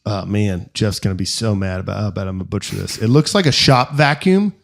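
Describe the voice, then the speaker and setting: A man's voice: lowish voice, a little fast, vocal fry. Rambling man telling a story. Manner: rambling, filler-heavy, animated storyteller. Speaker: podcast co-host. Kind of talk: casual chat, storytelling.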